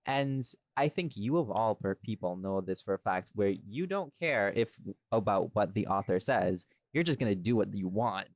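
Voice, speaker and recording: A man's voice: fairly low pitch, animated, moderate pace, hardly any pauses, dry recording. A man chatting casually as a podcast host, rambling and opinionated, with some casual swearing. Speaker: podcast host and audio drama writer.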